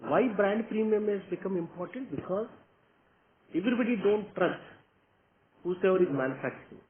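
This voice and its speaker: A man's voice: sits high, fairly quick. A man with an Indian accent answering questions as a company executive in an investor meeting, explaining point by point and often checking with a short "okay?". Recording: tinny phone-like line with background noise.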